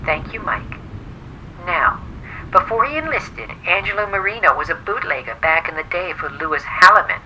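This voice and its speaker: A woman's voice: on the low side, expressive, moderate pace, pushed and bright, dull audio, a little hiss or hum. A woman playing a sardonic but loyal private eye's secretary in a noir radio play, wry and matter-of-fact. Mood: businesslike.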